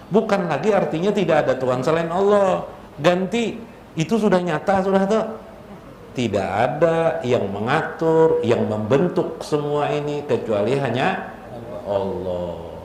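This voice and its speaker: A man's voice: medium pitch, a little mellow. A man with a Banjar accent giving an informal, jocular Islamic sermon, conversational and full of rhetorical questions. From South Kalimantan, Indonesia. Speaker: Islamic preacher. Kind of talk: informal sermon with jokes, mixing local speech and Arabic phrases. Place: indoor religious gathering. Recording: echoey room with faint background noise.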